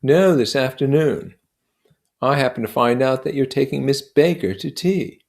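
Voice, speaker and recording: A man voicing a boastful young gentleman war veteran in an emphatic, affectedly genteel manner, as dialogue in an audiobook. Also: mid-range voice, expressive, moderate pace, creaky, voice pushed up. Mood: earnest, insistent. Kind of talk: acted dialogue in an audiobook reading.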